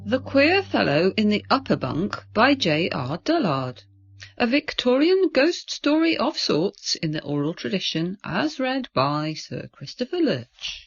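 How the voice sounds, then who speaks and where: A woman's voice: medium pitch, sing-song, unhurried, gravelly, breathy edge, faint music behind. A woman announcer reading a scripted title introduction in a dull, lo-fi recording.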